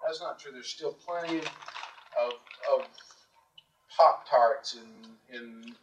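A man asking questions in a conversational interview, halting and groping for words. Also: mid-range voice. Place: hotel suite. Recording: distant, muffled, noisy interview tape.

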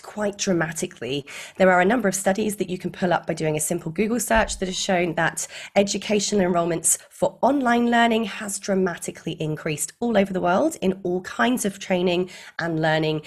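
A woman in her thirties with a croaky voice, speaking as an enthusiastic, encouraging guest in an interview and laughing often. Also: lowish voice. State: croaky voice from illness. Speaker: guest expert on online courses. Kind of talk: conversational interview.

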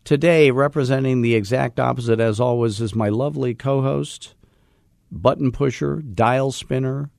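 A man's voice: medium pitch, moderate pace, creaky, darkish tone, no room sound, clean studio sound. A man hosting a podcast, with brash, teasing banter. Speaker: podcast host. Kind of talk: joking radio-style chat.

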